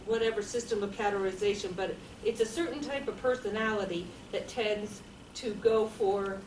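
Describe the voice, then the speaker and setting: A woman's voice: medium pitch, moderate pace, darkish tone, a little hiss or hum. A woman in a group discussion, rambling and thinking aloud, recorded across a meeting room. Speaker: group member. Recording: echoey room, distant.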